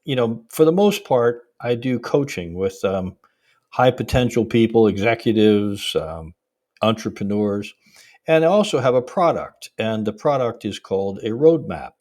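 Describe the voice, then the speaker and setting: A man hosting an interview podcast, talking conversationally in a measured, deliberate manner. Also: lowish voice, animated, darkish tone. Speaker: podcast host, executive coach.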